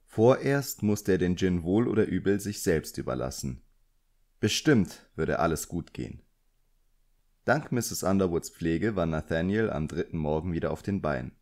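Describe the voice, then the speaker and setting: A man's voice: sits low, animated, moderate pace, darkish tone. A man reading literary fiction as an audiobook narrator, in scripted narration.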